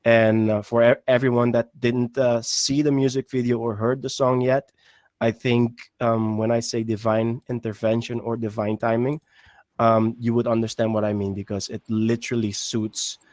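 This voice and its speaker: A man's voice: medium pitch, flat delivery, moderate pace, a little mellow, dry recording. A man in his thirties answering earnestly and with measure as a guest on an online panel. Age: 30s. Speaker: musician guest. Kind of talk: panel answer on a video call. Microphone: video call.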